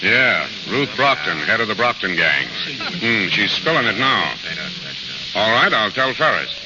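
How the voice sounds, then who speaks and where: An American actor playing a smug, bossy private detective in a 1930s radio drama, speaking gruffly and briskly. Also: male voice, on the low side, very animated. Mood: businesslike. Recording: muffled, hissy old radio recording.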